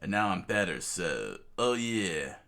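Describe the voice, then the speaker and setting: A man's voice: sits low, sing-song, a little slow, vocal fry, pushed and bright, exaggerated voice. A man voicing a laid-back, sleepy young hero who talks like a surfer dude. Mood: easygoing, determined.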